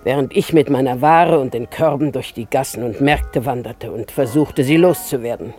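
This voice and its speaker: A kindly older market woman in a 1950s radio drama. She tells her tale in a low voice for a woman, with faint music behind. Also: normal speed, mellow. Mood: reminiscent.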